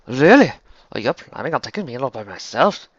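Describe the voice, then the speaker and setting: A man's voice: mid-range voice, big pitch swings, fairly quick, dry recording, muffled recording. A man voicing a villainous thick-set Scottish golfer in a story, taunting and blustering with a Scottish accent. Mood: menacing.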